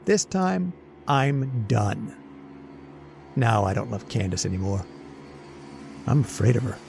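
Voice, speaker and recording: Actor as jittery henpecked husband, animated, creaky voice. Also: male voice, mid-range voice, takes it slowly, a little hiss or hum, heavily acted. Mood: weary, confiding. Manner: stammering, confiding, plaintive. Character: henpecked, jittery immortal husband, wry confiding storyteller. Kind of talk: old-time radio horror-comedy acting, first-person narration.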